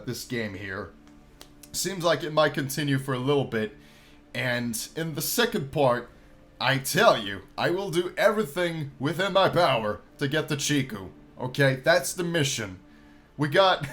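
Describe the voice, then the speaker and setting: A man's voice: fairly high pitch, fairly bright tone. A man hosting a gaming video, reading a visual novel aloud and commenting casually in a playful, storytelling way.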